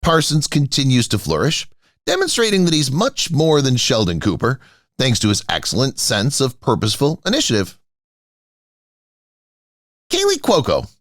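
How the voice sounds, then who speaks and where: Male voice-over narrator, very animated intonation, dry close recording. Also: mid-range voice, moderate pace, pauses often, dry recording. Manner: announces each name as a raised heading. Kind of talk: scripted celebrity narration.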